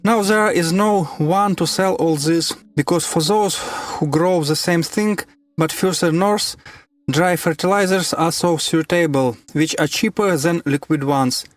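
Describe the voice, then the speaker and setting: A man with a somewhat high voice reads a translated testimony in the first person. The voice-over sounds dry, with no room around it. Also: unhurried, dry recording. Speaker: voice-over reader of a business owner's testimony.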